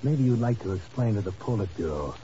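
An actor playing a cool, tough, commanding secret agent who speaks tersely and dryly in a 1950s radio drama. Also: male voice, fairly low pitch, lively intonation, fairly quick, mellow, lightly acted. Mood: cool, pressing. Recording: muffled, hissy old radio recording.

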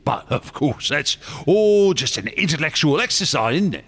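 A man's voice: fairly high pitch, very animated, moderate pace, fairly bright tone, slightly rough, full character voice. An actor playing a passionate, secretive artist and explorer in a radio drama, telling his tales in a grand theatrical way. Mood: fervent storytelling. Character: passionate, secretive male artist and explorer obsessed with ancient gods.

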